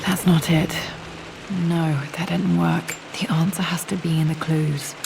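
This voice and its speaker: Low creaky-voiced thoughtful young Englishwoman. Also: little pitch movement, measured pace, background noise. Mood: puzzled. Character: young adventurous woman archaeologist, thoughtful and determined. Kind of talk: video game voice acting, musing to herself.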